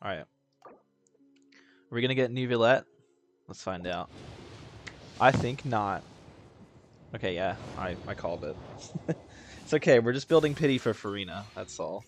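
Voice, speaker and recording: Young guy game streamer, lower-pitched, easygoing banter with casual swearing. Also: animated, unhurried, pauses often, faint music behind. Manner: laid-back, self-mocking, swears casually. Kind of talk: casual gameplay commentary.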